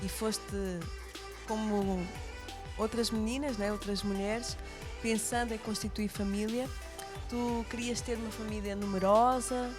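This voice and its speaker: A woman's voice: on the high side, steady tone, unhurried, over music. A woman with a European Portuguese accent hosting a conversational interview programme for women. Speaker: host of a women's church programme.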